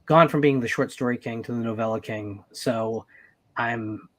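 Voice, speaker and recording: A man's voice: medium pitch, normal speed. A man hosting a casual live-stream chat, congested from a head cold and often restarting his sentences. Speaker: podcast host.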